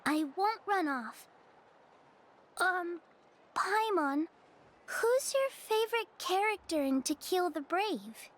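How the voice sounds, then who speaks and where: A woman voicing a shy, polite little child in a video game, speaking in a timid, halting way. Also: very high-pitched, expressive, a little hiss or hum, heavily acted. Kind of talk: video game character dialogue.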